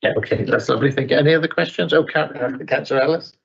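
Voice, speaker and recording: A man's voice: on the high side, rapid-fire, gravelly, fairly bright tone, slightly rough, highs cut off. A man chairing a council committee meeting, polite and a little hesitant.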